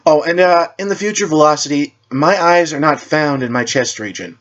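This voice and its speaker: A man's voice: a little high, moderate pace, a bit bright, dull audio, pitched above the performer's own voice, natural voice. A man voicing a stern, sarcastic teenage witch girl in a superhero story. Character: stern, smirking teenage witch girl, sharp-tongued feminist.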